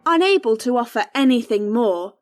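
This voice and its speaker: A woman acting as the commanding storyteller of a radio play, narrating in a measured, deliberate, dramatic way. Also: on the high side, sing-song, over music. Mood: measured storytelling. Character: prim, commanding storyteller narrating a literary tale. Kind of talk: theatrical scripted narration.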